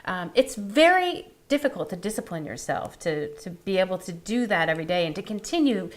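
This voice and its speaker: A woman's voice: medium pitch, big pitch swings, brisk. A woman hosting an interview, encouraging and affirming, often murmuring agreement. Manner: warm, encouraging, many affirming mm-hmms. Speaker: interviewer, host. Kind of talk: casual interview chat.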